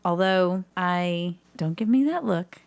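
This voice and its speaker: Casual woman with a creaky, low voice. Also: slow pace. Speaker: podcast guest, community theatre actress. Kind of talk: casual interview chat.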